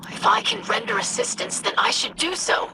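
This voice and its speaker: A woman's voice: moderate pace, noisy background, natural voice. A woman playing an earnest, curious young alien scholar in a video game cutscene, speaking formally, politely and eagerly. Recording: clear music behind the voice.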